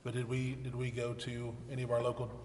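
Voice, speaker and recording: A man's voice: medium pitch, monotone, fast talker, a little mellow. An American man speaking as the mayor, chairing a council meeting and reading out agenda items in formal procedural language. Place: echoey council chamber. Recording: echoey hall, faint noise.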